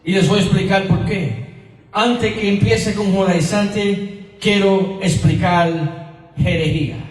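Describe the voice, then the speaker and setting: A man with an American accent, speaking Spanish as a second language, preaching a sermon as pastor in a slow, emphatic teaching manner that repeats key words. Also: sits high. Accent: American English. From United States. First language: English. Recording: echoey hall, voice from far off.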